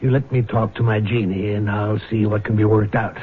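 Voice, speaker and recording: An actor playing a middle-aged, self-deprecating rich husband in a radio drama, hesitant, courteous and cryptic, with a husky voice. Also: male voice, lowish voice, little pitch movement, a little fast, slight background noise, close to an everyday voice. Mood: kindly, evasive. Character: troubled wealthy betrayed husband, secretive and self-deprecating godfather. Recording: muffled old radio drama recording.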